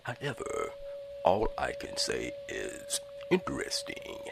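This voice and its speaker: A grave, analytical starship officer with a very low, gravelly voice. The delivery is deliberate and swings widely in pitch, with music behind it in a sci-fi audio drama. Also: male voice, huge pitch swings, heavily acted, poor audio. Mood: intrigued.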